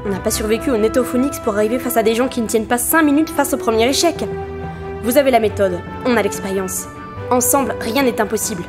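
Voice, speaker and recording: A woman's voice: fairly high pitch, natural voice. A woman playing an indignant start-up veteran who exhorts colleagues emphatically in a French comedy audio drama.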